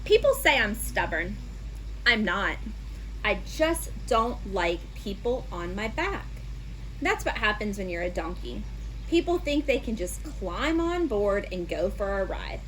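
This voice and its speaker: A woman's voice: medium pitch, very animated, measured pace, slight background noise, poor audio. A woman voicing a stubborn, huffy young donkey in a children's storybook, complaining in an exaggerated, indignant way. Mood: defensive. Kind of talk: children's storybook read-aloud.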